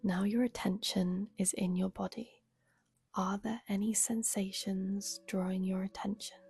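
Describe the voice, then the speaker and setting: A woman guiding a meditation as a yoga nidra teacher, speaking softly and soothingly with a breathy, unhurried delivery. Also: medium pitch, level tone, faint music behind. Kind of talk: guided meditation.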